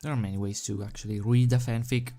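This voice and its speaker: A video host and fan fiction reader with a hesitant, halting, self-deprecating manner, speaking into a desk microphone. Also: medium pitch, fairly even intonation, warm tone. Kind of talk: casual rambling chat, then storybook narration. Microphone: desk microphone without pop filter. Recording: background music under the voice. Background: music.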